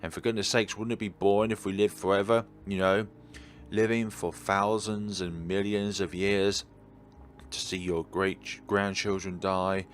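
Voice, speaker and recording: A man's voice: deep voice, level tone, unhurried. A man doing a let's-play, reading aloud and adding musing, rambling commentary of his own. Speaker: video game streamer. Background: faint background game music.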